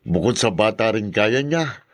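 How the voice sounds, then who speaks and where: A man's voice: fairly low pitch, animated, unhurried. A man with a Filipino accent playing a disapproving, grumbling middle-aged father in a radio drama.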